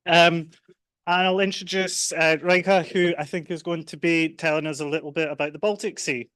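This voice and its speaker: A loud man with a Scottish accent chairing a conference session, talking with some fillers. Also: fairly high pitch, level tone, moderate pace, clear, resonant tone, no echo. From Scotland. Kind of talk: conference discussion. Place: conference hall.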